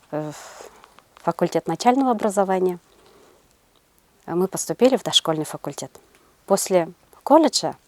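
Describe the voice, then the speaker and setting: A middle-aged woman answering interview questions in a measured, halting way, searching for her words as a teacher. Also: fairly low pitch, darkish tone, no room sound. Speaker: interview guest, senior kindergarten teacher.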